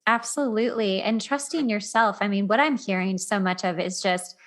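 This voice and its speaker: A woman's voice: medium pitch, moderate pace. A woman hosting a podcast in a casual, conversational style, affirming and emphatic, repeating points for emphasis. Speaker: podcast host.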